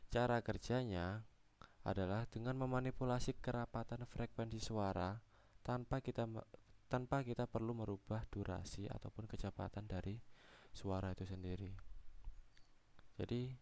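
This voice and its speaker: Young man, fry-edged but clean resonant tone, explanatory student presentation, halting delivery. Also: fairly low pitch, steady tone, a little mellow. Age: young adult.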